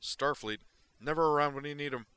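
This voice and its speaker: A man voicing a brash, frantic male doctor in a read-aloud story, shouting and cursing. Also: a little high, brisk, smooth, no room sound, voice pushed up. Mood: belligerent. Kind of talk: audiobook character voice.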